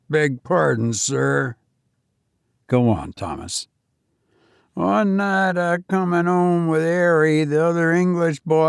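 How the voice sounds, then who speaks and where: A man voicing a nervous, deferential young Cockney servant with rough working-class speech. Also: on the high side, no room sound, clean studio sound, voice pushed up.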